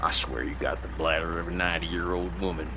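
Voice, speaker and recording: A man voicing a crude, teasing trucker in his fifties who mutters and drawls in a low country manner. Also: fairly even intonation, a little fast, pushed and bright, slight background noise. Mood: grumbling. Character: gruff, crude older male trucker, teasing.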